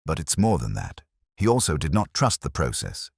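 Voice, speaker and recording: A man voicing an analytical, composed Victorian detective in an audiobook, speaking precisely and deliberately with cool reasoning. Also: extremely low pitch, huge pitch swings, gravelly, breathy edge, no echo, studio quality, full character voice. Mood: probing, deliberate.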